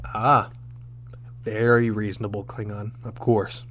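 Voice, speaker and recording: An actor playing a firm, wryly sardonic starship captain in a sci-fi audio drama, speaking crisply. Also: male voice, lowish voice, flat delivery, unhurried, a bit bright. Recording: clear background noise with hum.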